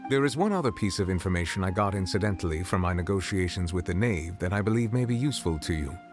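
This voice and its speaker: A man voicing a dignified, reserved ancient dragon statesman in a video game, formal and measured. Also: deep voice, lively intonation. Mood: solemn, formal. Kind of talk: video game character acting. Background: faint game music.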